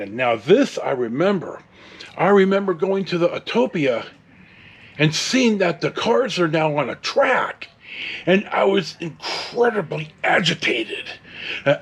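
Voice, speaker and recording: Man in his sixties, Californian American accent, raspy edge, slightly high pitch, digressive casual storyteller. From California, United States. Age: 60s. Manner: meandering, digressive, mildly profane. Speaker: host.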